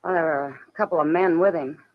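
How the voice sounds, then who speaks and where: An actress as shrewd, low-key saloon woman. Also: fast, lightly acted. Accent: American. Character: worldly, shrewd, cool frontier saloon woman. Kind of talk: 1950s radio drama acting. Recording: muffled old radio recording.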